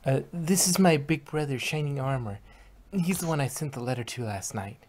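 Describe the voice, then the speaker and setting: A woman's voice: rumbling low, normal speed, darkish tone, a little hiss or hum. A woman voicing a friendly, earnest female pony in a cartoon fan story.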